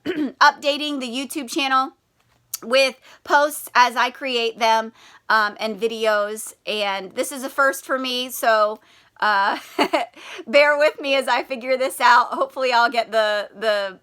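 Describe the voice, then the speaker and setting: A woman's voice: high voice, bright, forceful tone. A woman who teaches primary school, reading aloud and talking directly to children with prompting questions.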